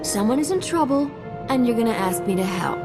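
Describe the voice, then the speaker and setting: An actress playing a brash, quick-witted woman starship captain with a teasing, wisecracking manner in a video game. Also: a little high, animated, loud music behind the voice. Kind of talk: video game cutscene acting.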